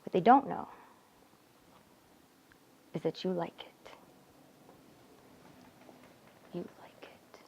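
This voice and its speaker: A woman's voice: fairly low pitch, gravelly, dark tone, a little hiss or hum, poor audio. A woman acting a cruel, volatile young woman who mocks and needles in a dramatic monologue. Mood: contemptuous, quiet. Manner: mocking, deliberate.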